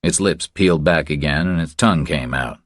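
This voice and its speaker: A man's voice: very deep voice, normal speed, no room sound, clean studio sound. A man reading a thriller audiobook as a scripted narration.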